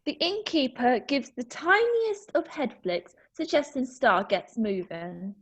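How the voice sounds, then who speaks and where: A woman's voice: high voice, animated, unhurried. Woman reading stage directions.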